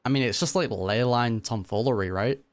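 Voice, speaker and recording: A man's voice: mid-range voice, fairly quick. A man giving casual, musing commentary as he reacts to a game, speaking informally. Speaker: gaming reaction YouTuber.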